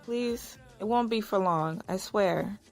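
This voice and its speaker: A woman's voice: medium pitch, slow pace, soft background music. An actress playing a young married woman in an audio drama, narrating and talking in a wry, conversational way. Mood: playful. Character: Iris, weary young married woman narrating her own story. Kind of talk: first-person audio drama narration and dialogue.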